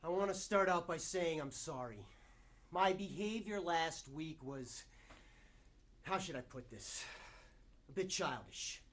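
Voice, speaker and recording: A man performing a stage monologue as a desperate, newly clean struggling actor, halting and self-interrupting as he pleads and bargains. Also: on the high side. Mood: contrite, restrained. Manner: halting, self-interrupting, swinging between hushed confession and outburst.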